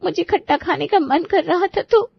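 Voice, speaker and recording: Woman doing a hurt young daughter-in-law in a story drama, high voice, pleading her case, dry close sound. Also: normal speed, creaky, dry recording, lo-fi sound. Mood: protesting. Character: wronged, dutiful 25-year-old daughter-in-law. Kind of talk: dramatized Hindi moral story.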